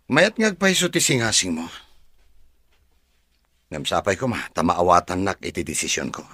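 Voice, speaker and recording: A man plays an earnest Filipino farm owner in love. The voice is deep and courteous, in drama dialogue with hardly any room sound around it. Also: huge pitch swings, normal speed, bright, forceful tone, slightly rough, no echo, studio quality, full character voice. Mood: firm, polite. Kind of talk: dialogue in an Ilocano serial drama.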